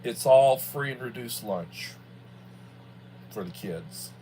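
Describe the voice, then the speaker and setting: A man's voice: medium pitch, expressive, slow pace, dark tone. Man in his sixties, rambling over background hum. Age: early 60s. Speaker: livestream host, school worker. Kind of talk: casual rambling livestream chat.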